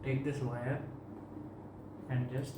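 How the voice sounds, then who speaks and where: A man's voice: mid-range voice, level tone, slow. A man with an Indian accent giving a casual, plain-spoken product demonstration and review as a vlogger. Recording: echoey room, distant mic, clear background noise.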